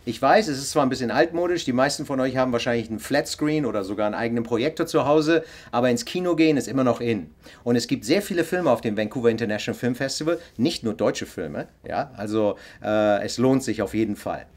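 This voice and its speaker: A man's voice: medium pitch, expressive, quick pace. A man hosting the show, chatty and upbeat as he signs off, with frequent filler words. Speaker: show host. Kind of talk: show sign-off.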